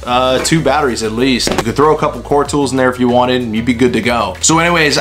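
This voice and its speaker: A man in his thirties, an HVAC technician, casually reviewing a tool at a desk in a small workshop, talking in a relaxed way with fillers. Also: mid-range voice. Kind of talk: casual product review vlog. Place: small indoor workshop with bookshelves.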